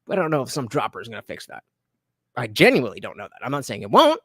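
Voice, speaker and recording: A man's voice: medium pitch, wildly swooping pitch, rapid-fire, bright, forceful tone, slightly rough, no echo, studio quality. A man commentating on a podcast clip during a live stream, hesitant and full of fillers, with sardonic asides.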